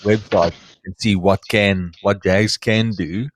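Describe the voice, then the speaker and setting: Low-voiced South African man, chatting casually. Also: fairly even intonation, slow, slight background noise. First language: Afrikaans. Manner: wordy, searching for words. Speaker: reptile breeder and show host. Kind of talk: casual unscripted chat.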